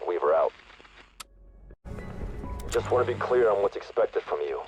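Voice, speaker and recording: Stern earnest commanding officer, low pitch, radio briefing, video game, muffled lo-fi transmission. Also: male voice, expressive, unhurried, a little mellow, soft background music. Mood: stern, firm. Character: stern, earnest special operations leader addressing troops. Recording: muffled radio with faint noise.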